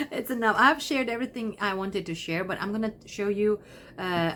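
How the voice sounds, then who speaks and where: A woman's voice: fairly low pitch, a bit bright, slight background noise. Chatty woman vlogger. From Latvia. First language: Latvian. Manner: rambling, chatty, self-interrupting. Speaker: crochet vlogger. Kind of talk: casual chat to camera.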